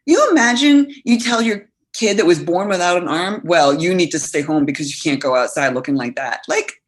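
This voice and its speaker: A woman's voice: fairly low pitch, expressive, a little fast. A woman in her thirties, a guest coach talking earnestly and animatedly in a casual podcast conversation, full of fillers.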